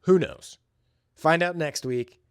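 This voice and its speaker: Slow-paced man, casual podcast host. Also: on the high side, clear, resonant tone, dry recording, studio quality. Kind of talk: casual solo podcast chat about films and video games.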